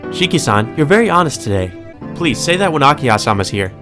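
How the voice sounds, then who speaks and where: A man voicing a cheerful, polite young maid woman with a sweet, gently teasing manner in a visual novel read aloud. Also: medium pitch, sing-song, fast talker, voice pushed up, natural voice. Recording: music under the voice.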